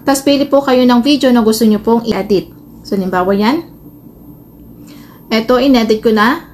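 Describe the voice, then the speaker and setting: A Filipino woman with a fairly high voice walks listeners through a phone tutorial. She explains casually and a little hesitantly, step by step. There is light noise in the amateur recording. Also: normal speed, darkish tone. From Philippines. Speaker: tutorial host, vlogger.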